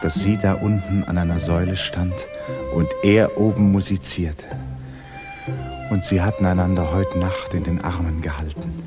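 A man narrating a literary 1950s radio drama in an expressive, dramatic storytelling manner. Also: low-pitched, normal speed. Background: violin music.

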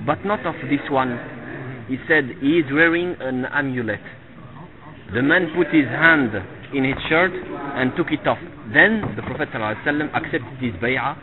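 A middle-aged man teaching a religious lesson to a live audience, halting and repeating himself and often checking with "you see?". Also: fairly high pitch. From Tunisia. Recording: phone-like lo-fi recording with crowd noise. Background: listeners gathered in the room.

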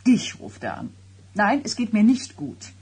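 An actress playing an agitated, suspicious and sarcastic pregnant wife of thirty-nine in a German radio drama, with a biting, questioning delivery. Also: female voice, mid-range voice, sing-song, measured pace, darkish tone, breathy edge, full character voice. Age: middle-aged.

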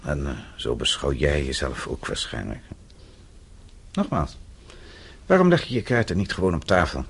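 An actor playing a weary but defiant captive businessman in a Dutch radio drama, sardonic and blunt, halting at times. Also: a bass voice, wildly swooping pitch, creaky, slightly rough, exaggerated voice. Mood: defiant, scornful.

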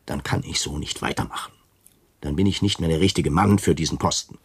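A self-important camp commandant in a radio drama. The voice is low and husky, recorded very dry. He speaks solemnly and stiffly, with wary hesitation. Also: a little fast, no room sound, exaggerated voice. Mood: offended. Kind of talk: German radio play acting.

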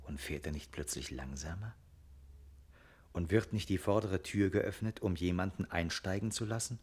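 A reflective radio play narrator with a low voice. He muses aloud and turns his telling into questions, as if thinking it through. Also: normal speed, a little mellow, breathy edge. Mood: musing. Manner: musing, rhetorical questioning.

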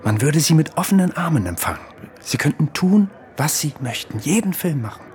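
An actor playing a flattering, insistent government emissary of the Nazi regime in a German radio drama, polite and smoothly persuasive. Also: male voice, a little high, a little fast. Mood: urgent, persuasive.

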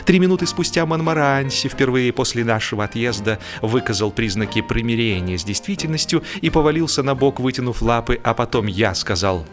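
A man narrating a humorous literary story as a radio actor, expressive and theatrical, drawing out words for emphasis. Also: mid-range voice, a little fast, few pauses, faint music behind. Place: radio studio.

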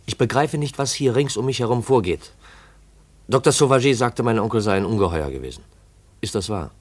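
An actor playing a reserved, earnest, suspicious young heir who speaks formally and tersely in a 1950s German radio play. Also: male voice, medium pitch, lively intonation, fairly quick, natural voice.

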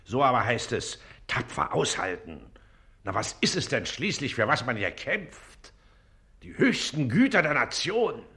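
Piercingly sharp, pompous official. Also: male voice, medium pitch, very animated, a little slow, full character voice. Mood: self-pitying, pompous. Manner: pompous, commanding, blustering. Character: pompous, bullying, self-important male local official. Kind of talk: radio play acting.

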